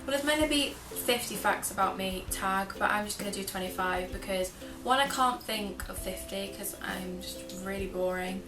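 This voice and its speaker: A woman's voice: medium pitch, normal speed, few pauses, far from the mic. Bubbly young woman, roomy off-mic vlog. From North Wales. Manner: bubbly, self-deprecating, drops into whispers. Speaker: vlogger. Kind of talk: casual chatty vlog. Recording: roomy and off-mic with music behind.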